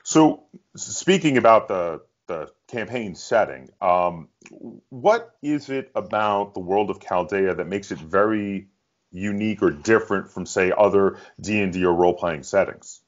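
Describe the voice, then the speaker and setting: A man's voice: low-pitched, expressive, unhurried, muffled recording. A man hosting an interview, putting questions in a casual conversational style. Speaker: interview host.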